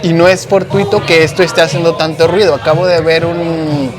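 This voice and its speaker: Animated Mexican man, slangy chat. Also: fairly high pitch, fairly quick, music in the background. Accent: Mexican Spanish. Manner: animated, slangy, with frequent restarts and fillers. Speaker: podcast host. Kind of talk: casual conversation.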